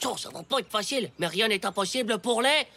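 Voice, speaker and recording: An actor voicing an excitable, mischievous ten-year-old schoolboy in a French cartoon, slangy and exclamatory. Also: mid-range voice, fast, creaky, a little hiss or hum. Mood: scheming, bold. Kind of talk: French animated series voice acting.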